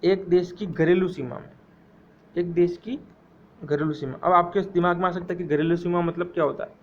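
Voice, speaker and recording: A man's voice: high-pitched, fairly even intonation, a little hiss or hum. Man teaching economics, tinny sound. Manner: repeats key phrases slowly for students to memorize. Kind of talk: exam-prep lecture.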